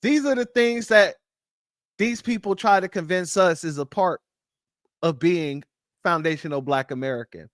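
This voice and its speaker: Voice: medium pitch, normal speed, no echo, clean studio sound. A host reacting to a clip on a livestream, speaking in a heated, emphatic way. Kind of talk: livestream commentary.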